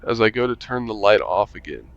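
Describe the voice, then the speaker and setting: Wry low-voiced man reading a game aloud. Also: a little fast. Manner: relaxed, wry, mutters to himself while playing. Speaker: gamer reading a visual novel aloud. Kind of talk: reading game text aloud as narration and player lines. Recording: lo-fi mic with faint music.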